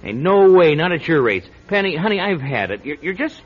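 An actor playing an exasperated, skeptical young fiancé in a classic radio drama, agitated and prone to stammering. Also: male voice, fairly high pitch, very animated, fairly quick, slightly rough. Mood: fed up. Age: young adult. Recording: lo-fi old radio drama recording.

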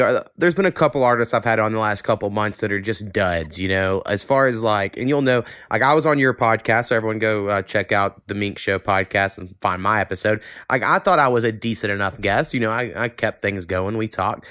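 Male podcast host, clean resonant low voice, blunt and sweary, casual talk in a dry close sound. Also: fairly quick, fairly bright tone, smooth, no echo.

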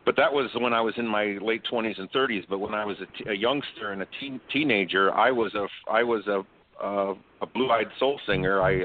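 A man who sings opera, a guest in a remote interview, explaining at length with frequent ums. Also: on the low side. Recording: dry tinny call line.

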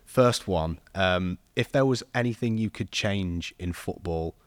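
A man's voice: deep voice, unhurried, dry recording. A man hosting a podcast, putting questions to his guest in a conversational way. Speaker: podcast host. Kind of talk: conversational interviewing.

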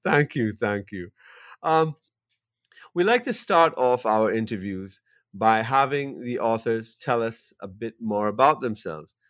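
A man's voice: medium pitch, lively intonation, a little slow, a bit bright, dry recording. Hesitant male podcast host, dry sound. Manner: hesitant, many fillers and restarts. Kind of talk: interview podcast.

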